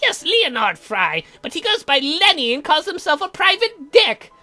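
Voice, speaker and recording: An eccentric uncle and potion brewer as a woman plays him, bright and high in voice. He is flippant and teasing, swinging up and down in pitch through a visual-novel scene, with faint music behind. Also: very animated, normal speed, heavily acted. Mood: cheeky, animated. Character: eccentric, cheeky uncle who brews potions.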